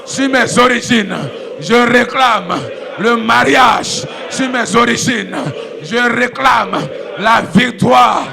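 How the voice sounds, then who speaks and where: High-pitched pastor shouting declarations. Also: male voice, takes it slowly, gravelly, hardly any pauses, crowd noise. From Ivory Coast. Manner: repetitive, commanding, chant-like. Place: church sanctuary.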